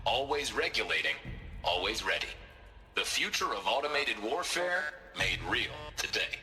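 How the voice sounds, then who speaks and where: A confident weapons advertiser in a sci-fi game. His scripted pitch is punchy and animated, with a very bright, driving tone. Faint music sits behind him. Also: normal speed, full character voice. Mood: slick confident. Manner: slick, confident, punchy salesmanship. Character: slick, forceful military hardware sales announcer. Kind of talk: scripted promotional narration.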